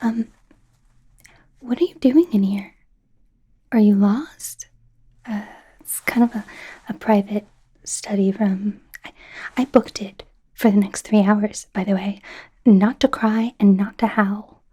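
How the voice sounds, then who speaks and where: A young woman voicing an awkward, flustered female werewolf student in a soft, whispery ASMR roleplay, stammering and rambling nervously. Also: medium pitch, takes it slowly, long pauses. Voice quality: soft, breathy, often whispery. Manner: nervous, stammering, rambling, indignant when embarrassed.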